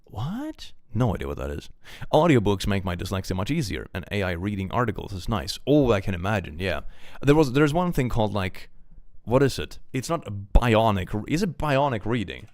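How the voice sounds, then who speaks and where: A man's voice: on the low side, big pitch swings, moderate pace, darkish tone, no room sound, studio quality. A man reading out and reacting to viewer chat in a casual stream, chuckling often and trailing off with fillers. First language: German.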